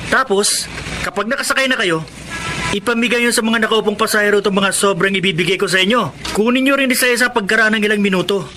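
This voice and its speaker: Brisk, bossy young Filipino hustler for radio drama; high, very sharp, forceful delivery. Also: male voice, slight background noise. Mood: brisk, confident. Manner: brisk, instructing. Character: bossy young street hustler giving instructions.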